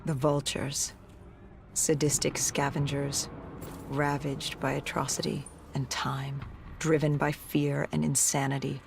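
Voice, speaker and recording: An actress playing a battle-hardened, haunted female soldier in a video game, narrating in a grave, terse, measured way. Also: extremely low pitch, level tone, vocal fry, noisy background, heavily acted. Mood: grim, hardened. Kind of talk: dramatic scripted game narration.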